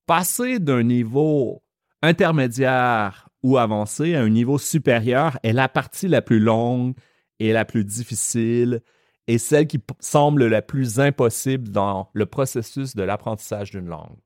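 A man with a Quebec French accent hosting a podcast lesson, explaining slowly and carefully. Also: medium pitch, a little mellow, no echo, studio quality. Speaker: French teacher and podcast host.

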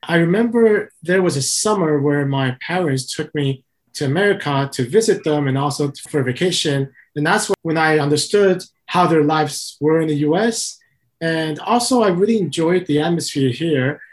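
A man from Taiwan, an interview guest and company founder, answering in a calm, methodical way. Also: fairly high pitch, moderate pace.

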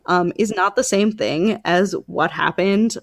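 A woman's voice: fairly low pitch, a little slow, no room sound. A woman co-hosting a podcast, giving her views in casual conversation. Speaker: podcast co-host. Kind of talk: casual chat.

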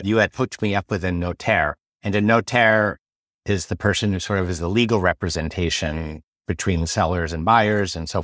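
A man's voice: on the low side, a bit bright, no room sound, clean studio sound. An American man hosting a podcast, chatting casually and telling anecdotes. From United States. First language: English. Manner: chatty, tells anecdotes with quoted voices. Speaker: podcast host. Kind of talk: casual interview chat.

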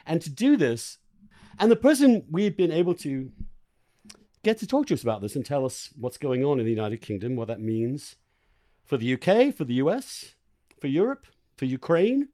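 A man's voice: on the high side, big pitch swings, moderate pace, warm tone. A man hosting a conversational interview podcast, speaking in an enthusiastic, emphatic and informal way. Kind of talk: conversational interview with a spoken introduction.

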